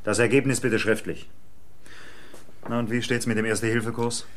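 A man's voice: mid-range voice, level tone, moderate pace, a little hiss or hum, natural voice. An actor playing a stern but familiar policeman, dry and insistent, in a German radio crime drama.

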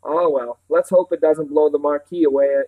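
A man acts out an older village housekeeper from a storybook. She is fond, cheerful and bustling, and she chatters away in homely rustic turns of phrase, pitched fairly high for a male voice. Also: fairly even intonation, normal speed, phone-quality sound, lightly acted. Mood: eager, chatty.

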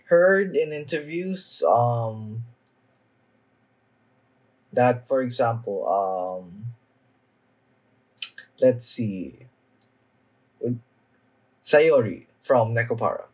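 A young man giving casual, off-the-cuff reaction commentary in a halting way, trailing off mid-thought. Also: mid-range voice, husky, poor audio. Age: young adult. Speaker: anime reaction vlogger. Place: small home room, indoors.

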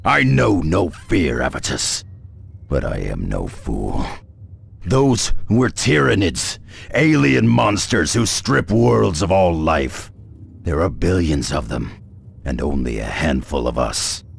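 Grim, gravelly veteran space marine. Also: male voice, very animated, a little hiss or hum, heavily acted. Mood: grim, fatalistic. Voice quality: deep gravelly. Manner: grim, measured, blunt. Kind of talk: video game cutscene acting.

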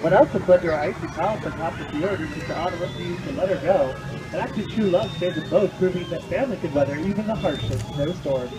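A man with a high, light voice narrates an outdoor boat ride like a storybook, pausing for playful asides to the passengers. Loud music plays behind him, and a phone among the riders picks him up from a distance. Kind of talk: scripted tour narration.

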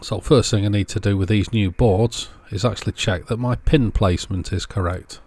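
A man's voice: lowish voice, normal speed, darkish tone, no room sound. A man presenting a casual how-to in a plain, understated, step-by-step way. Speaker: presenter of an electronics repair channel.

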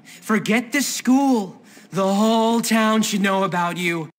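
A man playing a fawning teenage schoolboy flatterer with a drawn-out delivery in a video game. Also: sits high.

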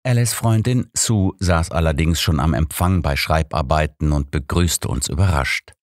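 Low-pitched male audiobook narrator. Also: normal speed, no room sound, studio quality. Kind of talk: scripted first-person story narration.

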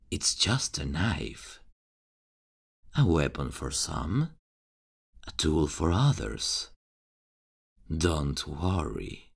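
A menacing, hushed serial killer, voiced by a man, for an ASMR horror roleplay. His voice is very deep with a gravelly, husky edge, and he taunts slowly while the pitch slides up and down. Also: full character voice. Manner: taunting, slow, calls the listener dear. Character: creepy soft-spoken male serial killer.

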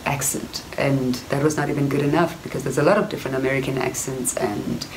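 Young South African woman, very deep flat voice, reflective storytelling to a close camera. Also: slight background noise, low-quality recording. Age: young adult. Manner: reflective, frequent fillers and "you know". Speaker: actress. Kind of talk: casual personal storytelling to camera. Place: indoor room before wooden cupboards. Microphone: camera at close range.

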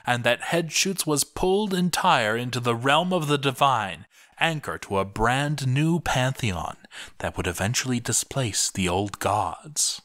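A man's voice: medium pitch, a bit bright, no echo, clean studio sound. A man giving a dramatic scripted narration in a solemn, portentous manner.

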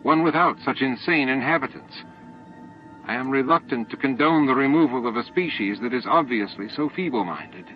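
A man's voice: mid-range voice, animated, moderate pace, voice pushed up, natural voice. A man voicing a reluctant, pained alien council member, hesitant and formally pleading, in an audiobook. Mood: anxious, pleading. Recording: dull lo-fi tape audio with music.

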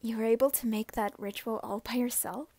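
A woman's voice: on the high side, fairly even intonation, fairly quick, mellow, dry recording. A woman playing a mischievous, grateful young witch sister back from the grave, in an ASMR roleplay.

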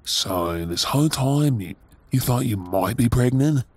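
An Australian man playing a tender, doting young husband in an intimate ASMR audio roleplay, with a soft, breathy voice. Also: mid-range voice, lively intonation, unhurried, no room sound. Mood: stunned, disbelieving.

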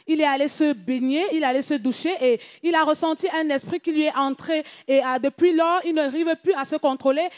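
A woman with a high voice works as an interpreter in church. She relays each statement in a steady, measured way. The recording is dry and close. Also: no echo. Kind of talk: French interpretation of testimony.

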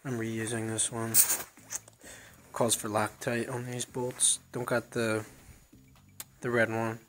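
A man's voice: mid-range voice, flat delivery, slow pace, fairly bright tone, slight background noise. A man giving casual, plain-spoken how-to narration while he rebuilds an engine in his home garage, thinking aloud as he goes. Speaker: DIY motorcycle mechanic. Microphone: head-mounted action camera. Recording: music clearly audible under the voice.

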